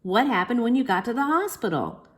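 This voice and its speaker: A woman's voice: fairly high pitch, big pitch swings, a little fast, voice pushed up. A woman voicing an eager, boastful, imaginative little boy in a storybook reading. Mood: curious. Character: imaginative excited little boy telling his own birth story.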